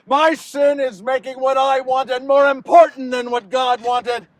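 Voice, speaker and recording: A man performs a damned, remorseful male betrayer in a biblical stage monologue. His voice is strikingly high for a man. He speaks with theatrical intensity and weighted pauses, tormented by his own guilt. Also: flat delivery, dry recording. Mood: grave, bitter. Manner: impassioned, dramatic pauses, swings from hushed to shouting. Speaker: actor performing a dramatic monologue.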